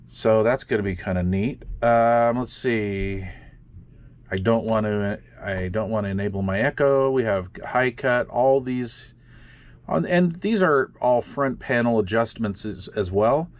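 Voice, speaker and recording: A man casually walking through radio software in a makeshift home studio, often trailing off and muttering to himself as he tinkers. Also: on the low side, unhurried, lo-fi sound. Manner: muttering to himself while fiddling with gear, many fillers. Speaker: radio hobby video host. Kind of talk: casual hands-on demonstration.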